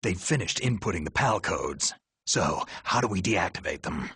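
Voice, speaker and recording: Very deep, terse covert soldier. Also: male voice, expressive, normal speed, bright, forceful tone, breathy edge, no echo, clean studio sound, full character voice. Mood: terse, focused. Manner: terse, questioning. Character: gruff male soldier on a covert mission, terse. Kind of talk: video game cutscene acting.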